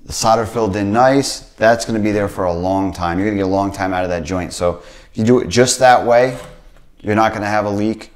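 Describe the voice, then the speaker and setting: Easygoing low-voiced plumber explaining. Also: male voice, brisk. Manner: plain, easygoing step-by-step explaining with fillers. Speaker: plumbing instructor. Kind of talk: hands-on how-to demonstration. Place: indoor workshop bench.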